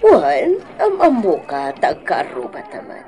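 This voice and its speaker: A woman playing a composed grocery boss, measured and firm with an Ilocano accent in a radio drama. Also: deep voice, wildly swooping pitch, warm tone, a faint crowd, heavily acted. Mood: calm, firm. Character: composed female grocery boss standing by her employee.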